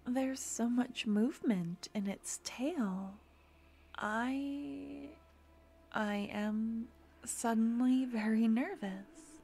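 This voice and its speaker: A woman playing a doting necromancer girlfriend, slow and gravelly. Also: sits high, heavily acted. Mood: delighted, then nervous. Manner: soft, intimate, drifting in and out of whispers. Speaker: ASMR roleplay performer. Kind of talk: ASMR fantasy audio roleplay. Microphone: close ASMR microphone.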